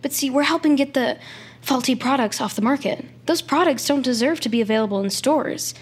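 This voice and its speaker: An actress playing an eager, awkward young tech team leader, stammering and rambling earnestly in a naturalistic audio drama. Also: female voice, high voice, fairly quick, husky.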